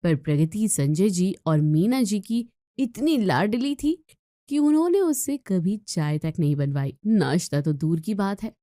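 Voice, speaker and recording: A woman's voice: medium pitch, lively intonation, a little slow, mellow, no echo, studio quality. A woman narrating a scripted moral story as a storyteller.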